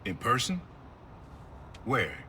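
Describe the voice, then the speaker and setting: A man's voice: medium pitch, huge pitch swings, slow, sharp tone, a little hiss or hum, exaggerated voice. A middle-aged man acting a streetwise, protective uncle and mentor in a video game, direct and casual. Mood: casual, guarded.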